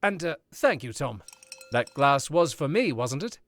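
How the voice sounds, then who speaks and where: A man's voice: on the high side, sing-song, measured pace, a bit bright, full character voice. An actor playing a witty, urbane male author in an old-time radio drama, teasing in a dry, knowing way. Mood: wry.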